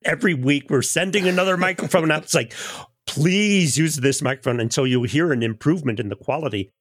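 A man's voice: fairly high pitch, fairly quick, a bit bright. A man speaking as a sound editor in a conversational, anecdotal interview, acting out his own remarks. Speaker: audio drama technical director and sound editor.

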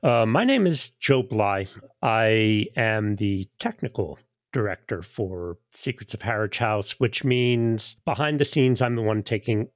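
A man's voice: fairly low pitch, unhurried, no room sound. A man speaking as an interview guest, explaining his technical job in a conversational style. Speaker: podcast guest, technical director.

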